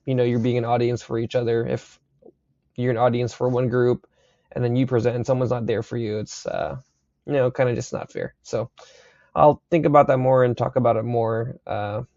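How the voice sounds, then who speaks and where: A young man recording a university engineering lecture late at night, his voice raspy, talking casually with many fillers and checks like "right?". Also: medium pitch, dark tone, no echo. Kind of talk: recorded lecture over slides. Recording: slightly dull dry recording.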